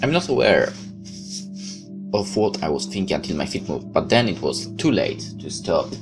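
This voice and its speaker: A man's voice: sits low, sing-song, normal speed. A man reading a visual novel aloud in first person, sometimes stepping out of the narration for casual comments. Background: background music.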